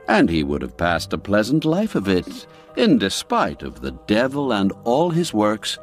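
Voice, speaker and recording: A male narrator with a lowish voice tells a classic radio drama at an unhurried pace. He is an expressive storyteller whose delivery lifts and sinks with the suspense. A faint music score plays beneath him.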